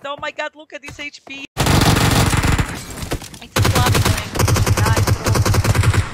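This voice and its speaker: High-voiced Croatian woman casting a shooter. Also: heavy background noise. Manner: excitable, rising to shouts at big plays. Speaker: streamer casting a mobile game tournament. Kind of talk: live esports commentary. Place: small room at home, indoors. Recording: loud gunfire from game audio.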